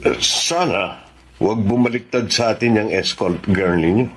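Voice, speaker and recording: A man's voice: on the low side, normal speed, slight background noise, natural voice. An actor playing a skeptical man in a political plot in a Tagalog radio drama, with a questioning manner. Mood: doubtful.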